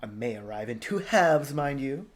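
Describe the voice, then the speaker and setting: A man's voice: mid-range voice, animated, normal speed, natural voice. A man voicing a grown wizard turned into a small, cheeky and theatrical boy. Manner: sulky, theatrical.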